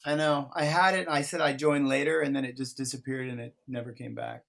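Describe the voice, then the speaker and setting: A man's voice: medium pitch, fairly even intonation, fast talker, creaky, fairly bright tone. A man co-hosting a session, chatting casually and thinking aloud in loose, easygoing phrases. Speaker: workshop co-host and facilitator. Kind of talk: casual chat, planning. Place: online video call.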